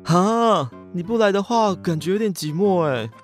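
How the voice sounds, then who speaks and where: A lively, teasing schoolboy, Taiwanese Mandarin. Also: male voice, high voice, normal speed, soft background music.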